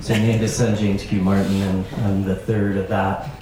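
A man from Arizona and Alaska introducing himself as a storyteller on a panel, speaking in a measured, halting way. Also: low voice, little pitch movement, mellow, a little hiss or hum. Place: event venue with audience. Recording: echoey room.

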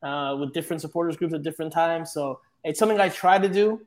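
A man guesting on a podcast speaks in an easygoing, conversational way. His voice sits slightly high as he talks about covering games from the press box. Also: fast. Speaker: guest, sports radio reporter. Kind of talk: casual podcast interview.

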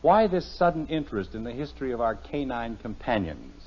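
Exasperated, sarcastic dad, swooping delivery. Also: male voice, medium pitch, very animated, fairly quick, breathy edge. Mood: dry, impatient. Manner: dry sarcasm, wordy, mock-formal. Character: exasperated, sarcastic suburban father of three. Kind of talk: vintage radio sitcom acting. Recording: muffled old radio broadcast recording.

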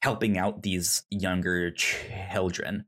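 A podcast co-host casually discussing films in a halting way, often repeating words while thinking aloud. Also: sits low, flat delivery, a bit bright.

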